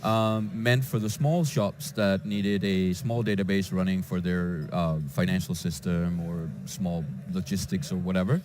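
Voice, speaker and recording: A man from Denmark, a database developer answering interview questions in a conference lounge, with frequent halting restarts. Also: low voice, steady tone, darkish tone, a little hiss or hum. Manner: hesitant, with many restarts and fillers.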